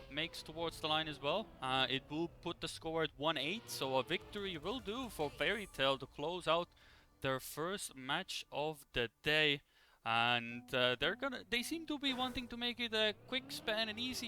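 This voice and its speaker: A man giving live, conversational esports commentary in a halting, rambling way as he follows the play. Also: a little high. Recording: clear background noise.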